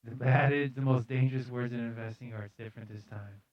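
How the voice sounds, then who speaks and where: A man's voice: medium pitch, steady tone, fast talker, no echo, dull audio. A man hosting a live news show, casually reading articles aloud with jokey, slangy, sardonic commentary.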